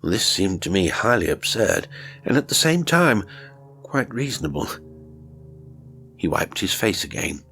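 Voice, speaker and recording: Horror audiobook narrator: man with a deep voice, dramatic first-person reading over music. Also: very animated, measured pace, long pauses, pushed and bright.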